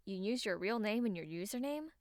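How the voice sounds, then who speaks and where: A woman's voice: medium pitch, lively intonation, brisk, a little mellow, dry recording, natural voice. A woman voicing a smirking, foul-mouthed young succubus with a brash, teasing manner in an audiobook. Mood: teasing, blunt.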